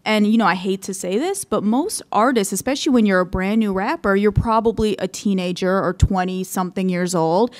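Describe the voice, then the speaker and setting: A woman's voice: medium pitch, a little fast, few pauses. A woman on a discussion panel speaks in a mid-range voice. She is direct and practical, and she talks conversationally to the others on the panel.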